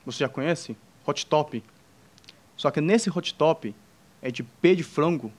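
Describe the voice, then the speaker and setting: A young man from China with a Chinese accent, speaking Portuguese as a second language, telling an informal personal story to an audience in a halting way, with many restarts, while joking with the listeners. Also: on the high side. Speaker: entrepreneur speaking on a panel.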